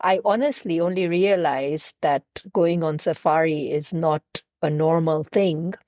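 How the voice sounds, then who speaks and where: A woman's voice: low-pitched, unhurried, dark tone, dry recording. A woman from Kenya with an accent mixing British, American, Indian and Kenyan sounds, chatting as an interview guest who often repeats words as she starts a phrase. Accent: mixed British, American, Indian and Kenyan. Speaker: guest, travel planner. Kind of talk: casual interview chat.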